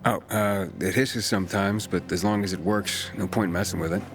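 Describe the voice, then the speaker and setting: A deep-voiced young male wanderer from a video game. He talks casually and plainly, guarded but calm. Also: normal speed, music in the background. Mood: guarded, matter-of-fact. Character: Aiden, calm young wandering pilgrim man. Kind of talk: naturalistic video game dialogue.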